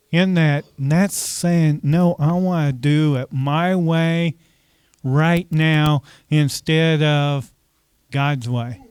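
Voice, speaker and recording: A man's voice: on the high side, clear, resonant tone, no room sound, clean studio sound. A man preaching a conversational sermon as pastor, often asking the congregation questions and saying "okay" between points.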